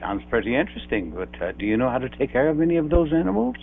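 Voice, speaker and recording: Kindly grandfather, quick and lively delivery, educational film drama, tinny noisy old soundtrack. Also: medium pitch, creaky. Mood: kindly, cautioning. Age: older. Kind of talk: scripted film dialogue.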